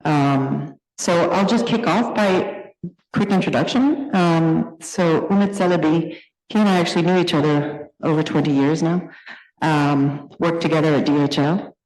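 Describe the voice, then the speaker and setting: A woman's voice: low voice, a little slow, darkish tone. A woman moderating a conference session, informally introducing a speaker in a large room. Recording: echoey, reverberant conference hall.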